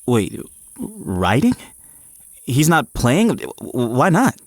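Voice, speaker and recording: An actor playing an eager, frazzled young male athlete, narrating his own story in an audio drama with expressive, at times hesitant delivery. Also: mid-range voice, very slow, no room sound, clean studio sound, full character voice. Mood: excited, incredulous. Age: young adult. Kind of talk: first-person audio drama narration and dialogue.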